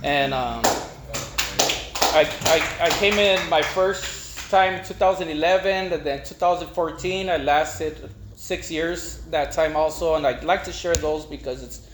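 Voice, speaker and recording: A middle-aged man gives an informal personal testimony, rambling and haltingly repeating words. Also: a little high. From East Los Angeles, United States. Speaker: speaker at a recovery meeting. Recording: echoey room with faint noise.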